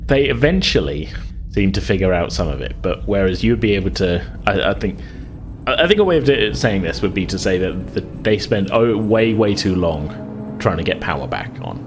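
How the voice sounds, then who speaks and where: Low-voiced man narrating a tabletop game. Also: big pitch swings, normal speed, soft background music. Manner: hesitant, rambling, explanatory. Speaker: game master. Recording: clear background noise.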